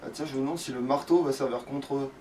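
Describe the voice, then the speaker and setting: A man's voice: medium pitch, fairly even intonation, fast, a little mellow. A man chatting informally as he plays a video game, swearing freely and thinking aloud. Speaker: let's play gamer playing the game. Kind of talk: casual gaming chat, commentary while playing. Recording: distant mic with faint background noise.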